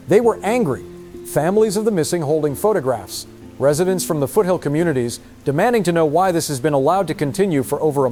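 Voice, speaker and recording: Male field reporter, acted for audio drama: earnest, emphatic, slightly high voice, light music bed. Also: a little mellow, lightly acted. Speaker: news reporter.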